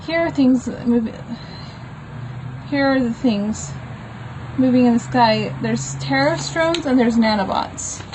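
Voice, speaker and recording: A woman with a fairly high voice reads aloud informally, adding her own loose explanations as she goes. The delivery is plain and unpolished, and there is clear noise behind her. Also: a little slow, pauses often. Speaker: comic book author reading own work.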